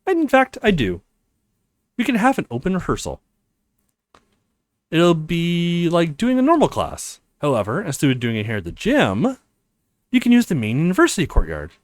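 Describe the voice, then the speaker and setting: An earnest young college man read by a male let's player, reassuring and confident. His pitch moves up and down a great deal, in a dry, close recording of visual novel dialogue. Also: wildly swooping pitch, moderate pace, pauses often, no echo.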